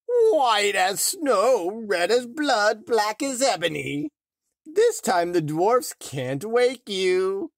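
Man putting on a shrill wicked storybook queen. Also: very animated, extremely slow, no echo, studio quality, heavily acted. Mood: gloating. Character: wicked envious queen, old farmer's wife disguise, cackling cruelty.

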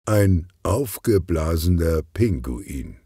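A man narrating a children's detective story in an expressive, scripted audiobook style. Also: deep voice, takes it slowly, no room sound, studio quality. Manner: expressive, clearly articulated storytelling.

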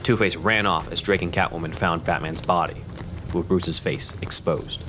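Very deep male narrator. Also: fairly even intonation, normal speed, over music. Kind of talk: scripted dramatic narration.

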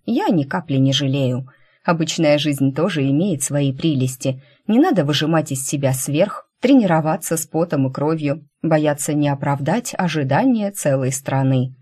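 A woman reading fiction as an audiobook narrator, in a conversational, expressive storytelling manner. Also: sits low, husky. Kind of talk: scripted first-person fiction narration.

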